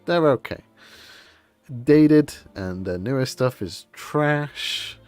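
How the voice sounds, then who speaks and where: A man's voice: medium pitch, expressive, takes it slowly, a little mellow, clean tone, faint music behind. A man streaming a game and chatting casually, rambling through his opinions in an informal, grumbling way.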